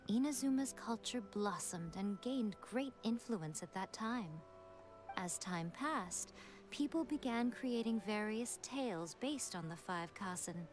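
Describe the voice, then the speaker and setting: Casting: teasing regal fox priestess woman voicing, lively pitch, soft music under. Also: natural voice. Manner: smooth, teasing, unhurried and regal. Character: sly, elegant fox-spirit priestess, teasing. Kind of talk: game cutscene voice acting. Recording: game audio with soft music behind.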